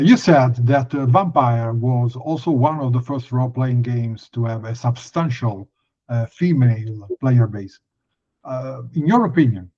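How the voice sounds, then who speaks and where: A man asking measured interview questions, with hesitant, pausing phrasing. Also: mid-range voice. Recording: slightly dull, lo-fi audio.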